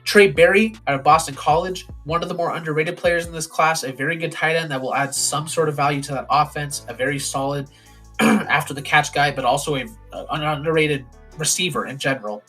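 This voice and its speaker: A young man giving casual, opinionated football draft commentary, his voice hoarse and raspy. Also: fairly high pitch, brisk, pushed and bright, faint music behind. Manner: opinionated, plain-spoken with frequent ums.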